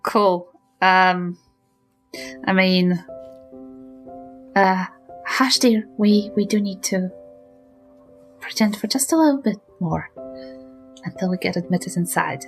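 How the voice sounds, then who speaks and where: A woman's voice: medium pitch, takes it slowly, pauses often, soft background music. A woman chatting casually as a player in a tabletop game, hesitant and wry.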